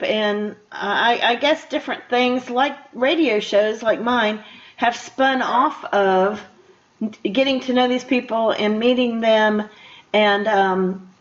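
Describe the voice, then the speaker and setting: A woman with a fairly high voice works as a radio interviewer. She talks in a chatty, casual way, as in an easy conversation. The audio is somewhat dull and lo-fi. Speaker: radio show host, interviewer.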